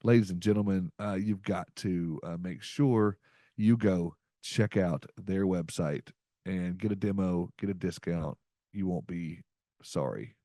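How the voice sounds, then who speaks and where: A man's voice: deep voice, measured pace, mellow, no echo. Upbeat folksy man, dry close voice. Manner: upbeat, folksy, many fillers. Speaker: podcast host. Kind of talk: casual podcast chat with ad reads.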